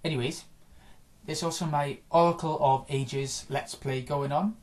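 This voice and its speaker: A man chatting casually about video games, rambling and offhand, his voice a little hoarse after a day of recording. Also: on the high side, steady tone, measured pace, a little mellow. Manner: rambling, offhand, frequent restarts and small chuckles. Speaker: gaming vlogger.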